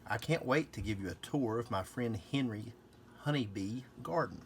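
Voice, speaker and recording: Dad doing a cheerful thoughtful little flower girl from a storybook, very animated pitch. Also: normal speed, slight background noise. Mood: cheerful, explaining.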